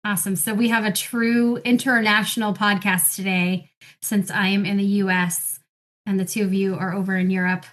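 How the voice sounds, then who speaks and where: A woman's voice: mid-range voice, little pitch movement, normal speed, fairly bright tone. A woman hosting a podcast in an upbeat, encouraging way as she introduces and questions her guests. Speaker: podcast host.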